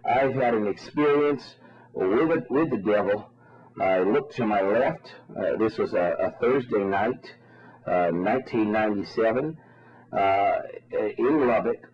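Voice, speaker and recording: A man's voice: lowish voice, unhurried. A man being interviewed by phone as a radio ministry spokesman, speaking in an insistent, repetitive, fervently preaching way. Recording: muffled, band-limited radio phone interview.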